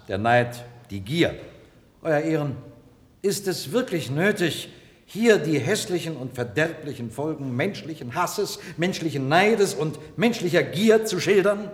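An actor playing an eloquent, combative defence lawyer in a radio drama, arguing formally with emphatic rhetoric. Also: male voice, on the high side. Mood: solemn, pleading. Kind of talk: radio drama courtroom oratory. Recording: reverberant courtroom-like hall.

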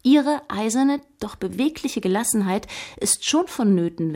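An actress in a radio drama playing a keen, articulate historian on an expert panel. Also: female voice, medium pitch, a little mellow.

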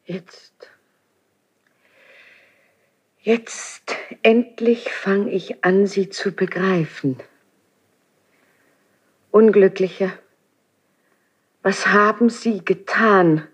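A woman's voice: mid-range voice, steady tone, very slow, long pauses, slightly rough. An actress playing a dignified, anguished, passionate queen in a classical German radio drama, with grave, formal theatrical delivery. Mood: stricken, solemn.

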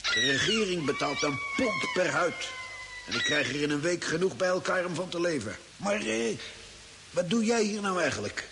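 Old desert hermit, lively-pitched, hissy recording. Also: male voice, moderate pace, full character voice. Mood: reminiscing. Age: older. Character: old desert hermit dingo hunter, easygoing and hospitable. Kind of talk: radio drama acting. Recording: hissy old radio recording with long reverb.

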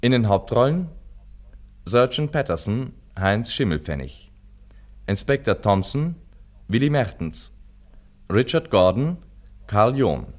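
Male radio announcer: formal credit read, unhurried, deliberate, with marked intonation swings. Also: lowish voice. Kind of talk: scripted cast credits announcement.

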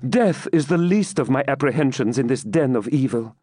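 A man's voice: medium pitch, lively intonation, moderate pace, dark tone, dry recording, studio quality, voice pushed up, lightly acted. A man voicing a proud, devout young woman captive in an audiobook, with formal, archaic and earnest speech. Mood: resolute. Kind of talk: dramatised audiobook reading.

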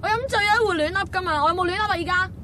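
A woman's voice: very high-pitched, very fast, sharp tone, a little hiss or hum. An actress playing a heartbroken, tipsy young woman with a Hong Kong Cantonese accent, petulant and emotional, in a radio drama. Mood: drunk, petulant. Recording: music behind the dialogue.

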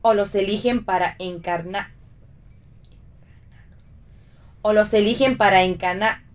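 A woman's voice: mid-range voice, normal speed, natural voice. A woman with an Argentine accent playing a theatrical, ironic actress who needles her friend in a read radio play. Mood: teasing. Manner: ironic, playful with words.

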